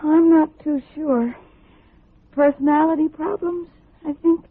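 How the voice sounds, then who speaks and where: A woman's voice: high-pitched, fairly even intonation, a little slow, no room sound. An actress playing an anxious, earnest woman of about twenty in a 1950s radio drama, timid and hesitant. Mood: pleading. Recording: tinny, dry old radio recording.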